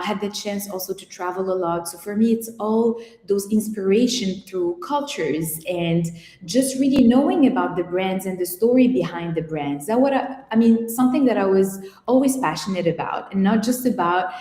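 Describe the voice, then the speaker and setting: A woman from Morocco speaks in a mellow, relaxed tone, measured and reflective, pausing often as she answers questions. Her voice sits in an echoey room with a long reverb tail. She talks as a guest on an interview. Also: medium pitch. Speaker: guest image consultant.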